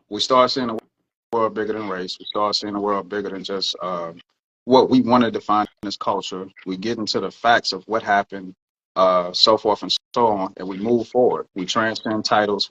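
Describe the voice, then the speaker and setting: Low-voiced man explaining earnestly. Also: a bit bright, muffled recording. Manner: earnest, explanatory, sometimes swearing. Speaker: podcast co-host. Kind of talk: casual podcast discussion.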